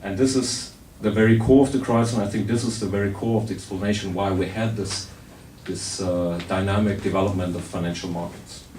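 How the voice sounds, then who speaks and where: A man with a sore throat and a soft voice, giving a blunt, wry informal talk to an audience, restarting his sentences often. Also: sits low. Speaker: speaker at a public debate. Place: hall with an audience. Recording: roomy, distant hall recording with faint noise.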